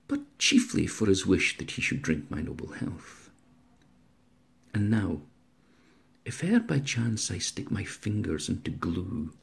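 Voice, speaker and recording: A man's voice: on the low side, gravelly, slightly rough. A man, an author speaking informally and reciting verse aloud. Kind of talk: informal introduction and dramatic poetry recital.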